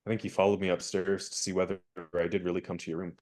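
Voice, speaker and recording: A gallant young gentleman, played by a man, courteous and gently wry, with a mid-range voice. He speaks in measured, polite turns as in a radio play. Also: low-pitched, little pitch movement, natural voice.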